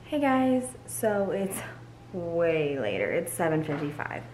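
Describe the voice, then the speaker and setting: A woman's voice: lowish voice, big pitch swings, slow pace, a little mellow, slight background noise. A young woman, chatting casually and rambling to her phone camera in her home kitchen while tired. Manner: chatty and rambling, many ums and likes. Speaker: vlogger. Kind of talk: casual vlog chat. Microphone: phone held close.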